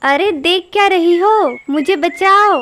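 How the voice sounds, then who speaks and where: A woman voicing a scheming, bossy auntie bird with a shrill, scolding manner in a Hindi cartoon. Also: slow pace, a bit bright, a little hiss or hum, heavily acted. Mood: panicked.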